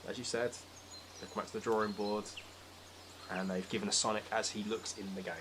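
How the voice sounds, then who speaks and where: A man's voice: lowish voice, steady tone, brisk, noisy background. A British man in a casual, opinionated film discussion, talking emphatically with exasperated, sweary rants. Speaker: podcast speaker.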